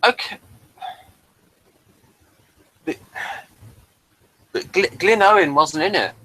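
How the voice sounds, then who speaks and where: A man co-hosting a casual fan discussion of a TV series, speaking in a hesitant, halting way and often repeating himself. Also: sits high, pushed and bright, rough recording. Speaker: podcast co-host reviewing a TV series.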